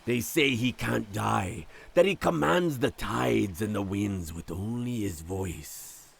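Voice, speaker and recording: A man playing a stern, grave ship's captain with a gravelly, husky voice and commanding manner in an audio drama. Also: on the low side, lively intonation, slow pace, full character voice. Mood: grave, ominous.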